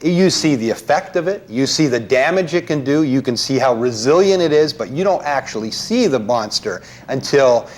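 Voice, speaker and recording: A man's voice: mid-range voice, animated, normal speed, few pauses. A man talking as a guest panelist in a conversational film discussion, explaining his points.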